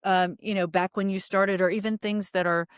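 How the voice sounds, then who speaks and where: Female podcast host, medium pitch, dry close mic. Casual, appreciative, rambling conversational delivery, thinking out loud. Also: fairly even intonation, moderate pace, clear, resonant tone, dry recording.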